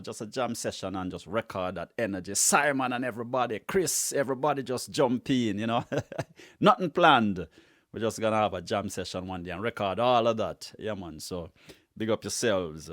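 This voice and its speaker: A middle-aged man with a Jamaican accent hosting a music show, casually introducing tracks and giving shout-outs in a conversational, slangy way. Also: fairly low pitch, brisk, dry recording, clean studio sound. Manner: conversational, repeats slang affirmations.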